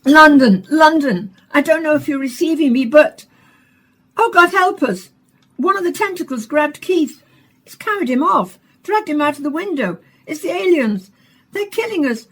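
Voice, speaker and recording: An actress playing a dramatic, urgent female news reporter with a husky voice in a radio play. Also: sits high, animated, moderate pace, fairly bright tone, slight background noise. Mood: panicked, sobbing. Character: female field radio reporter, distressed witness of alien invasion.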